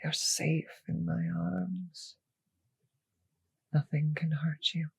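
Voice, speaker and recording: A woman voicing a seductive, possessive and doting vampire noblewoman in an intimate ASMR roleplay, speaking in tender, teasing, breathy whispers. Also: low-pitched, flat delivery, very slow, no echo, heavily acted. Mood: tender, soothing.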